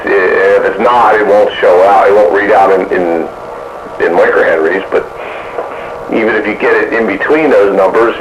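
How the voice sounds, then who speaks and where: A man chatting casually about technical matters as a radio hobbyist, halting as he thinks aloud. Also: lowish voice. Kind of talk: casual technical chat over two-way radio. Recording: muffled, noisy two-way radio transmission.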